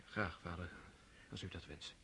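An actor playing an earnest, dutiful nobleman son in his thirties, speaking quietly and respectfully in a radio drama. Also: low-pitched, moderate pace, slight background noise. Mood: restrained. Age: 30s.

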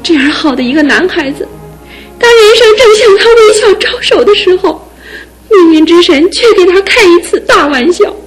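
A steadfast young fiancée speaks in a high, clear voice with earnest, pleading resolve. She sounds devoted and unshakable. Soft music runs faintly behind her. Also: animated, moderate pace, poor audio. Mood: grieving. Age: young adult. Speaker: radio drama actress. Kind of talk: broadcast radio drama acting.

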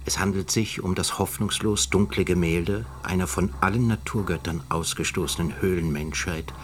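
A man's voice: deep voice, normal speed, slight background noise, close to an everyday voice. An actor playing a grave middle-aged philosopher, reading his book aloud in a solemn, lecturing, literary manner for a radio drama. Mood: solemn, bleak.

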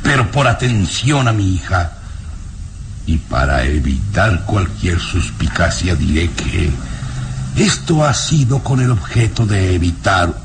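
A man playing a proud, sinister nobleman and father in a radio serial, speaking in a haughty, menacing, deliberate way. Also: low-pitched, sing-song, noisy background, exaggerated voice. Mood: defensive. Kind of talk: Spanish-language radio serial acting.

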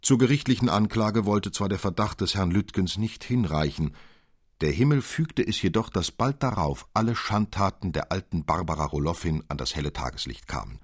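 A man narrating a literary tale in an expressive, deliberate, old-fashioned storytelling manner. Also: deep voice, slightly rough, dry recording, studio quality. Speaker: audiobook narrator.

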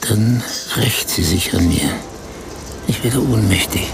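An actor playing a desperate, battered man who tells of his humiliation in short, halting phrases in a radio play. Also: fairly low pitch, creaky, over loud music. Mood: desperate, recounting. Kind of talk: radio play acting, storytelling.

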